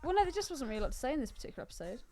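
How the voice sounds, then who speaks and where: High-voiced woman, cheeky banter. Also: very animated, rapid-fire, a little mellow. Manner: cheeky, crude. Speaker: podcast co-host. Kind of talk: casual banter.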